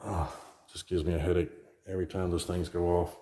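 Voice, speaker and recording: Hoarse man touring an echoey empty house. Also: deep voice, little pitch movement, brisk, very noisy. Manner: chatty, rambling, self-deprecating. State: hoarse, losing his voice. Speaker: real estate agent. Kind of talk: casual home tour vlog. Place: empty new house, indoors. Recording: echoey empty rooms, faint smoke alarm chirps.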